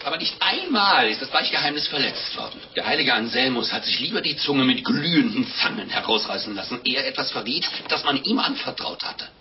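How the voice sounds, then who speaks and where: An actor playing a grumpy, hungry monk in a radio play, exclaiming and grumbling theatrically. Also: male voice, mid-range voice, big pitch swings, fairly quick, hardly any pauses. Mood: pompous, chatty. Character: grumpy, hungry, impatient monk hearing a confession. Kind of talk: 1950s German radio play acting. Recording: noisy, muffled old radio recording.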